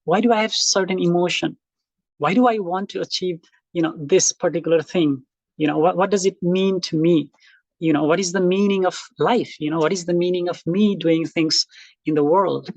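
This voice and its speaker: A man's voice: high voice, normal speed, darkish tone, no room sound. A middle-aged man from Nepal with a Nepali accent, speaking casually and reflectively to his online class, with many fillers and restarts. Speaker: college instructor. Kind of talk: casual lecture to online students.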